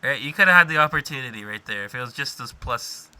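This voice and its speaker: A man's voice: mid-range voice, lively intonation, fast, pushed and bright, clear, resonant tone. A young adult man co-hosting a podcast in casual, argumentative banter, swearing freely as he talks. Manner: profane, argumentative. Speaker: podcast co-host.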